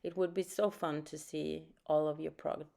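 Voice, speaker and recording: Soft, low Swedish woman teaching painting. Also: steady tone, moderate pace, gravelly. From Sweden. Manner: soft-spoken, thinking aloud with fillers. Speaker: art teacher. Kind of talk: unscripted painting tutorial.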